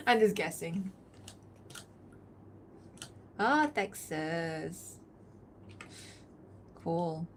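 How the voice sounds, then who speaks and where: A woman's voice: fairly low pitch, expressive, drawn-out words, pauses often, a little hiss or hum. A woman from Malaysia chatting casually with her live-stream audience while she works, laughing often and reading out chat messages. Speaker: art streamer.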